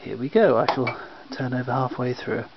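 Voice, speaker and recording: A man's voice: mid-range voice, normal speed. A man casually presenting to viewers in a wry, amused manner. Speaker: presenter of old records. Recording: dull home recording with noise and clicks.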